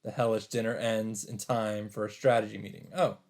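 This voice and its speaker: A man's voice: on the low side, little pitch movement, fairly quick, vocal fry, a little mellow. A man hosting a let's play, reading game narration aloud with casual, mock-dramatic commentary. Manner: mock-dramatic, interjects swearing and side remarks. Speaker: let's play host reading a visual novel. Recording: game music under the voice. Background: visual novel background music.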